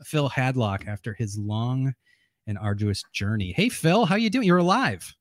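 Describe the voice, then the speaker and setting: Brief: male host, mid pitch, jokey and rambling, dry sound. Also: expressive, moderate pace, dry recording. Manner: joking, rambling, laughs a lot. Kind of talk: casual podcast chat.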